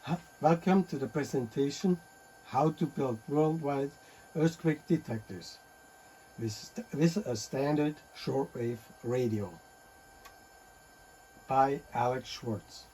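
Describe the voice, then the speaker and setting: A man presenting a technical talk on radio and earthquakes, pausing often with fillers and restarts. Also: mid-range voice, warm tone, slight background noise, poor audio. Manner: halting, many fillers and restarts.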